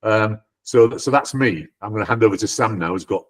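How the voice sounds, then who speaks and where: A man's voice: lowish voice, fairly quick. Easygoing Scottish-born man in his sixties. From Scotland. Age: 60s. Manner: self-deprecating, easygoing, many fillers. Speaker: guest, company co-founder. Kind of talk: casual interview chat. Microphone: video call.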